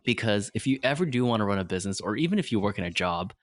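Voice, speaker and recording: A man's voice: lowish voice, lively intonation, racing, no room sound. A man in his forties whose first language is Vietnamese, a podcast guest explaining ideas earnestly in simple phrases. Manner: earnest, simple phrasing, frequent "right?" tags. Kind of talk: motivational interview talk.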